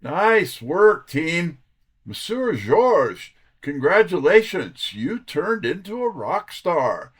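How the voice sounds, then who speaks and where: An actor playing a wry, wisecracking private detective in a full-cast audio drama, speaking deliberately. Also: male voice, fairly high pitch, very animated, fairly bright tone, husky, heavily acted. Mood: confident, commanding. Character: brisk, wisecracking male private detective, Nero Wolfe's sidekick.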